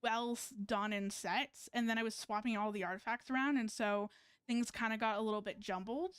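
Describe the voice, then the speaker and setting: Very clear, higher-voiced woman streaming games. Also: steady tone, brisk, dry recording, studio quality. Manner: hesitant, thinking aloud while playing. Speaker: video game live streamer. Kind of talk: casual chat to stream viewers while gaming.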